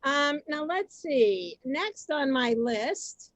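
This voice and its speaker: A woman hosting a community reader's theater on a video call, halting and flustered, often repeating herself. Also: high voice, expressive, a little hiss or hum. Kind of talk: semi-scripted moderating.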